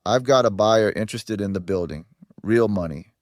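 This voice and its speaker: Narrator playing a cool business guy, matter of fact and pressing, fairly low voice, dry recording. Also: moderate pace, a little mellow, no echo, lightly acted. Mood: cool, persuasive. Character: smooth, pragmatic businessman, coolly pressing for a sale.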